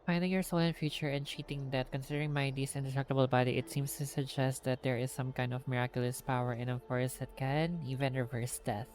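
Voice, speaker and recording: A woman's voice: very deep voice, steady tone, fairly quick, hardly any pauses, a little mellow. A woman with a Filipino accent, live streaming a game and reading its dialogue aloud.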